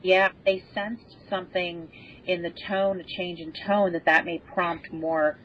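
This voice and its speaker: A woman speaking as an expert guest on a panel, explaining things in measured detail. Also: little pitch movement. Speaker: podcast guest, space expert. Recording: muffled lo-fi audio with rumbling noise.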